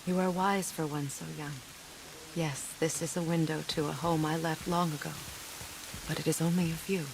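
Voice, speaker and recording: A woman playing a wise, sorrowful, motherly witch in a video game, speaking in a measured, solemn and tender way. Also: sits low, steady tone, background noise. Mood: gentle, wry. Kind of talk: dramatic video game cutscene acting.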